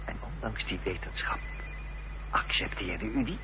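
An actor playing a polite, persistent investigator in a Dutch radio play, with formal, probing questions. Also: male voice, on the low side, expressive, a little slow. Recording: dull old radio recording with hiss and hum.

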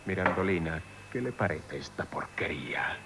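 An actor playing a proud, terse gentleman in Castilian Spanish radio theatre. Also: sits low, animated, normal speed. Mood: dry, irritated. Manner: terse, commanding. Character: curt, proud gentleman, grudgingly falling for a woman. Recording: noisy old radio drama recording.

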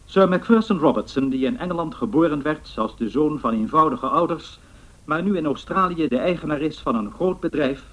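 1930s male newsreader for radio drama; formal, measured, husky voice, bulletin style. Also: on the high side, animated, a bit bright. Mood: formal, solemn.

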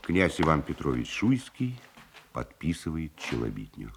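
A man's voice: extremely low pitch, huge pitch swings, a little slow, creaky, slight background noise. A man giving scripted narration of stage action in a radio play.

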